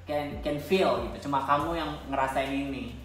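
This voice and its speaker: Seorang wanita dari Indonesia dengan aksen Indonesia, mewawancarai dengan santai dan sering menimpali singkat. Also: female voice, very deep voice, normal speed, faint music behind. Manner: interjects often with short agreeing reactions. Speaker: interviewer. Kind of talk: casual friendly interview chat. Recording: very echoey room.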